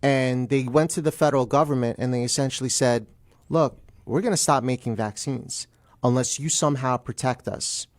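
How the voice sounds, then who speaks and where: A man speaking as a guest attorney in a radio interview, explaining plainly in halting phrases. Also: mid-range voice, dry recording.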